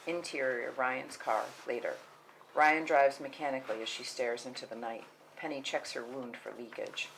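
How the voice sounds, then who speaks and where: Very deep-voiced woman reading stage directions. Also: fairly even intonation, moderate pace, creaky, fairly bright tone, slight background noise, low-quality recording. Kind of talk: scripted narration at table read.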